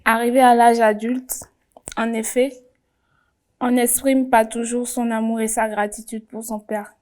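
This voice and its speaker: A woman's voice: on the high side, flat delivery, darkish tone. A woman pageant candidate reading a heartfelt letter aloud, solemn and tearful. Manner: slow, solemn, emotional reading.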